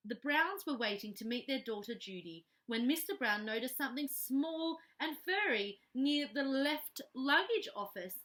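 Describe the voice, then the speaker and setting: A woman with a high, bright voice reads a children's story aloud. Her delivery is very expressive and swings up and down as she addresses young listeners directly. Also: normal speed, hardly any pauses.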